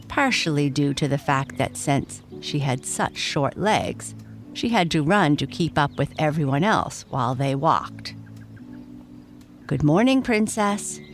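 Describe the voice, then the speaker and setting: Slow, low-voiced woman telling bedtime stories. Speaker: children's story narrator. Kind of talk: scripted bedtime story narration.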